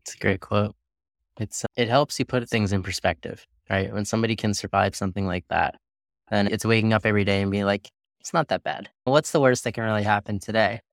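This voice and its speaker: Podcast host guy, lowish voice, friendly and chatty, dry sound. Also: steady tone, a little fast, vocal fry, dry recording. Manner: friendly, agreeing, conversational. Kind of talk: interview questions.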